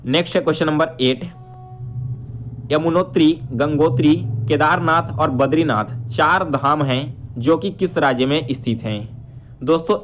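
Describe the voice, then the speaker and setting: A man's voice: on the high side, slight background noise. A man presenting an exam-prep quiz lesson, explaining answers to students with frequent check-in tags and English terms mixed in. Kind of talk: instructional quiz walkthrough, addressing students.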